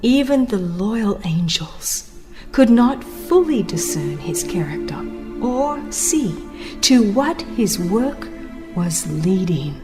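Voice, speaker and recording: A woman's voice: mid-range voice, big pitch swings, takes it slowly. A woman narrating a religious audiobook in a solemn, formal, dramatic scripted delivery. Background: music under the voice.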